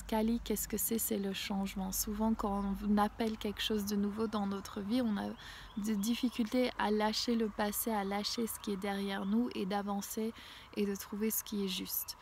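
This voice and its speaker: A woman with a head cold talks her way through an oracle card reading while sitting outdoors. Her voice sits at a middle pitch, and she pauses often on fillers before sliding into a hushed near-whisper. A faint hiss stays behind her. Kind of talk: casual spiritual card reading. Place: outdoors in a small mossy spot with violets.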